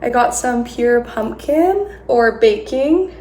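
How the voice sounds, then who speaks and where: A woman's voice: on the high side, animated, slow pace, a little mellow. A congested young woman chats to her viewers at home, friendly and easygoing. Her voice bounces around a roomy, echoey space, with a faint hiss underneath. She sounds like she is still shaking off a cold. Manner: chatty, friendly, talking to viewers. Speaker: vlogger. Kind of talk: casual haul vlog talk.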